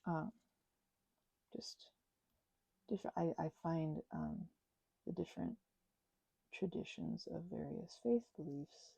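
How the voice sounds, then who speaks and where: A woman rambling casually and unscripted while crafting, halting and wandering off on tangents, with excitable, gushing exclamations. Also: fairly low pitch, creaky, slightly rough, no room sound, whispered, poor audio. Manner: halting, digressive, with gasps and exclamations. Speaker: craft and ASMR channel creator.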